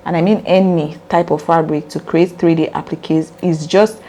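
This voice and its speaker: A woman with a raspy voice hosting a casual step-by-step sewing tutorial, chatty and earnest as she talks straight to her viewers. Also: low-pitched, steady tone, moderate pace, dark tone.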